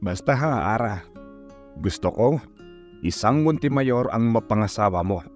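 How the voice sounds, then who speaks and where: A man's voice: on the low side, lively intonation, measured pace. A man playing a doting, teasing old grandfather in an audio drama, with a Filipino accent. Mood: playful, insistent. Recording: muffled, with guitar music underneath.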